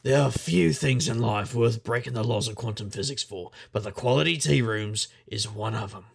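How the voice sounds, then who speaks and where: An actor playing a hard-drinking, roguish private investigator in a noir audio drama, gruff and husky, with wry, world-weary wisecracks. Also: male voice, mid-range voice, normal speed, slight background noise, lightly acted. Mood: roguish enthusiasm. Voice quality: gravelly, husky.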